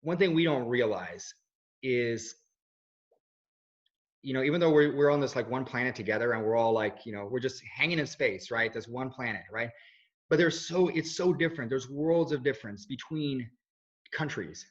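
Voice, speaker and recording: A man's voice: medium pitch, normal speed. A man in his thirties, raised in Switzerland, with no accent, speaking as an interviewee in an earnest, rambling way full of restarts and fillers. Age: 30s. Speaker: interviewee, video journalist. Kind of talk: casual conversational interview. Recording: dull lo-fi audio.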